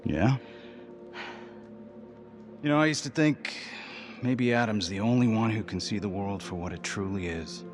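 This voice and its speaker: A man plays a death-row prisoner in a cinematic crime game, with a low voice. He is intense and manipulative, pressing his case earnestly over clear background music. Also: measured pace, long pauses, natural voice. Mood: bitter, confiding.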